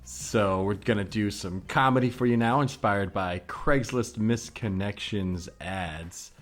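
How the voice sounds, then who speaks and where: Low-voiced man co-hosting a comedy podcast. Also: unhurried, soft background music. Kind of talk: casual chat and reading of ads.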